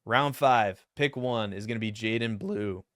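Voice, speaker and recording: A young man with a low, deep voice that cracks upward now and then. He talks through draft picks in a casual, rambling way, full of self-deprecating asides. His voice sounds smooth and clear, recorded dry and close with no room echo. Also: unhurried, a bit bright. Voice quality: deep voice that sometimes cracks high. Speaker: fantasy football YouTuber. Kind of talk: solo mock draft commentary.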